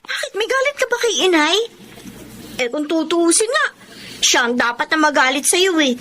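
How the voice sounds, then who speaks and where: Very high-voiced young Filipina sister. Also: female voice, big pitch swings, normal speed, bright, forceful tone, a little hiss or hum, exaggerated voice. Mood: reproachful. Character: earnest, scolding younger Filipina sister and daughter. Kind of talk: Filipino family melodrama acting.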